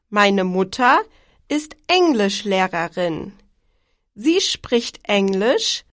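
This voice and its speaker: A woman from Germany reads scripted exam sentences at a deliberately slow pace. Her pitch sits a little high and moves a lot. The recording is close and dry. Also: fairly bright tone, no echo. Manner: slow, clearly articulated reading.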